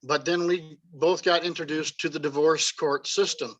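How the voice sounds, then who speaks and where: A man telling his personal story as a talk-show guest, halting with frequent fillers. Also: a little high, fairly even intonation, a bit bright, poor audio. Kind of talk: personal testimony.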